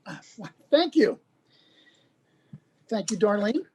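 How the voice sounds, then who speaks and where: A woman's voice: mid-range voice, very animated, takes it slowly, a little mellow, a little hiss or hum. A woman playing an awkwardly friendly, chatty male social worker in a dramatized script reading, hesitant and repeating words. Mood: awkwardly polite.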